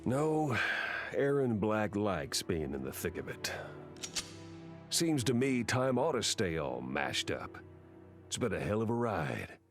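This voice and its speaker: An actor voicing a cynical thrill-seeking outlaw gunslinger in a video game, with an American accent and a laid-back drawl. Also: male voice, fairly low pitch, very animated, slow, exaggerated voice. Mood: cocky, amused. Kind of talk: video game character monologue. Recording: loud music behind the voice.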